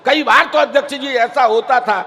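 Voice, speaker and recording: A man's voice: extremely high pitch, normal speed, pushed and bright. A man performing comic poetry-gathering banter as compere, teasing and declaiming to a live audience. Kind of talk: live stage comedy and introductions to an audience. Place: large event stage before an audience. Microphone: stage microphone. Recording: hall reverb from a stage PA.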